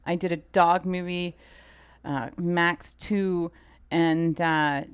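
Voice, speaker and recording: A woman's voice: fairly low pitch, takes it slowly, clear, resonant tone. A woman guest in a casual interview, telling anecdotes with acted-out dialogue and lots of "like". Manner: animated storyteller, quoting dialogue, frequent "like". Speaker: interview guest, film assistant director.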